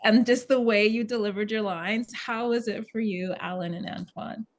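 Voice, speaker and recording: A woman's voice: a little high, steady tone, moderate pace. A woman moderating a casual panel discussion, speaking informally.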